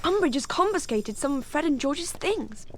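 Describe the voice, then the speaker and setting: A bossy teenage witch with an English accent and a high voice. She sounds like a scheming schoolgirl in game dialogue. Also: sing-song, moderate pace, slight background noise. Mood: urgent. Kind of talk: video game cutscene dialogue.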